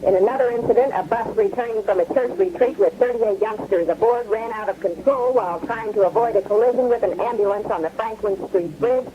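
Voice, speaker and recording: An actress playing a grim radio reporter reading disaster bulletins in a measured, dramatic, formal way. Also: female voice, mid-range voice, close to an everyday voice. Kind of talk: scripted 1970s radio news report. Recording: muffled, noisy 1970s radio recording.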